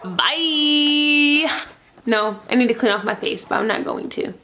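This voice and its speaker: A woman's voice: high-pitched, measured pace, bright, forceful tone, a little hiss or hum. A young woman casually vlogging at home, chatty and playful, muttering asides to herself into a camera held close. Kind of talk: casual vlog. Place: home kitchen and living room. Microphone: handheld camera held close.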